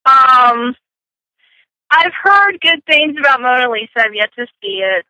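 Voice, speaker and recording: A woman's voice: high voice, expressive, a little slow, pushed and bright, no room sound. A woman on a podcast panel, flustered and apologetic as she decides. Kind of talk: casual panel chat. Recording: dull lo-fi audio.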